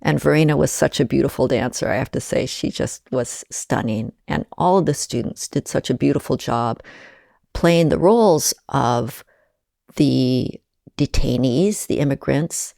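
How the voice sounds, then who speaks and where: A woman speaking as an interview guest, reflective and measured, talking with warm enthusiasm about shared projects. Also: extremely low pitch, creaky, dry recording, clean studio sound.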